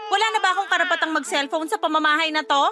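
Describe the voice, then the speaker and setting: A woman's voice: very high voice, racing, music in the background. An actress playing an irritable, defiant wife and new mother in a Tagalog radio drama, whiny and exclaiming. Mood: indignant, defensive.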